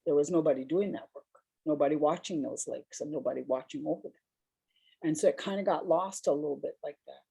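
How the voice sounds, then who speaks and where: Woman on a panel with a big mellow voice, kinda low, rambles through her stories. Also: fast, creaky, breathy edge, rough recording. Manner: reflective, rambling, laughs while telling stories. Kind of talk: personal storytelling on a discussion panel.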